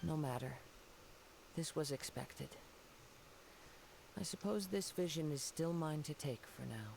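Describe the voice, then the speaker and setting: Creaky, soft-spoken wandering samurai. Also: male voice, a little high, slight background noise, low-quality recording. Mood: calm, resigned. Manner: measured, poetic, formal phrasing. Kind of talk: video game character dialogue. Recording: game audio under loud music.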